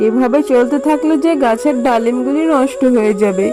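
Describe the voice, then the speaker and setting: A caring, practical mother fox from a children's cartoon, played by a woman. The voice is high and motherly, explaining things earnestly. Music plays clearly underneath. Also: moderate pace, natural voice.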